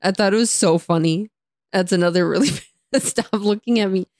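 A young woman co-hosting a casual chat, chatty and laughing often, her voice a little raspy from talking all day. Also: medium pitch, normal speed, darkish tone, dry recording, clean studio sound. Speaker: podcast co-host.

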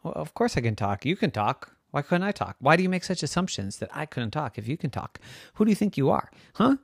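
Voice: medium pitch, very animated, fairly quick, creaky, husky, no room sound, studio quality, voice pushed up, full character voice. A big storybook cave bear, put on by a storyteller, blustering and full of questions. The voice swings up and down as it chats, indignant yet friendly underneath.

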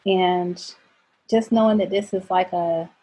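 A middle-aged woman, a coach and speaker, talking earnestly as a podcast guest about faith and boundaries, goofy and quick to laugh. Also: lowish voice, fairly even intonation, dark tone, breathy edge, poor audio. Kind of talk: faith-based personal talk.

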